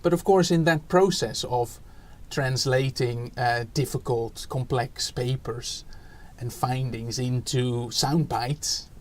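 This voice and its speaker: Dutch-accented man, thoughtful and halting. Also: medium pitch. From Netherlands. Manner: thoughtful, many fillers and restarts. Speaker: education researcher guest. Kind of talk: podcast interview.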